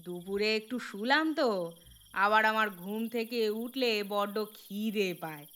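Cartoon mother-in-law played by a woman: older glutton, sharp bright tone, middle pitch, coaxing and folksy. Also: measured pace. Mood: sheepish, coaxing. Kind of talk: cartoon voice acting. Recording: music behind the voice.

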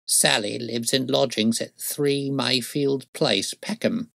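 Guy doing a chatty old working class granny, high pitched, humble and rambling, storybook style. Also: takes it slowly, creaky, fairly bright tone, dry recording, studio quality, exaggerated voice. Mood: guarded. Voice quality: harsh. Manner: rambling, humble, folksy phrasing. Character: wheedling, garrulous very old working-class woman. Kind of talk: audiobook character voice.

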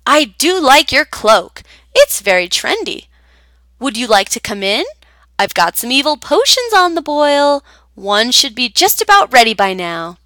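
A woman's voice: high voice, sing-song, a little slow, no echo, voice pushed up, heavily acted. A woman voicing a friendly, reassuring male witch in a children's story. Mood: welcoming. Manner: warm and reassuring.

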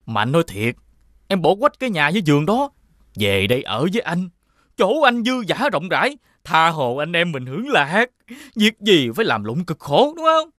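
An actor playing a greedy, boastful and suspicious rich elder brother in an animated fairy tale, with a condescending manner. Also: male voice, fairly high pitch, normal speed, dry recording, clean studio sound. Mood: smug, expansive. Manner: condescending, expansive.

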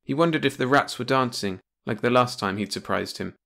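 A man's voice: mid-range voice, fairly quick, gravelly, a bit bright. Guy narrating a silly old sci-fi audiobook, mid-range voice. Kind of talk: scripted narration of comic pulp sci-fi short story.

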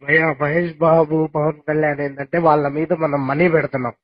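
A man's voice: a little high, level tone, brisk. A young man talking casually on a recorded phone call, giving insistent advice. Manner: insistent, advising.

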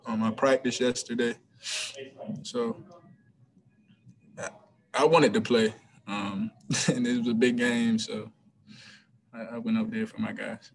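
A young man, a college basketball player, answering press questions after a game over a video call, relaxed and hesitant with many ums and restarts. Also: mid-range voice, takes it slowly, vocal fry, long pauses, slight background noise, rough recording. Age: young adult. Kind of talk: postgame press conference answers. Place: small room before a team backdrop. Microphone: video call webcam.

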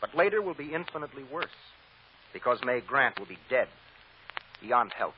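An actor playing a stern, righteous defense lawyer, deliberate and insistent, in an old-time radio drama. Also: male voice, medium pitch, big pitch swings, close to an everyday voice. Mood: relentless, forceful. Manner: deliberate, insistent, repeats phrases for emphasis. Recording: dull, noisy old radio recording.

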